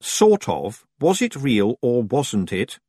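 A man voicing a practical young girl witch who talks briskly and firmly. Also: medium pitch, huge pitch swings, warm tone, husky, dry recording, clean studio sound, full character voice. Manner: brisk, commanding.

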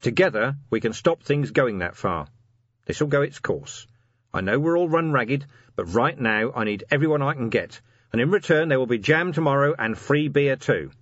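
A man voicing a weary, decent police commander in a storybook, gruff and dryly sardonic, talking plainly and firmly. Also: medium pitch, lively intonation, moderate pace, no echo, lo-fi sound, voice pushed up, natural voice. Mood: rousing, firm. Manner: dry, sardonic, rousing plain talk.

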